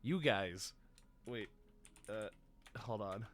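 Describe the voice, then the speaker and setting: A worn-out young man chats loosely as a stream host, his voice sitting low and a bit deeper than usual. He rambles and pokes fun at himself in a playful way, while soft music plays faintly behind him. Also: extremely slow. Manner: rambling, playful, self-mocking. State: tired and exhausted. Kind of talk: casual livestream chat.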